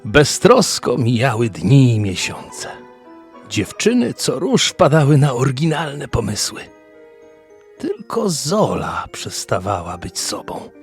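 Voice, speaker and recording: A man narrating a scripted fantasy story in a measured, dramatic way. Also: mid-range voice, huge pitch swings. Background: music bed.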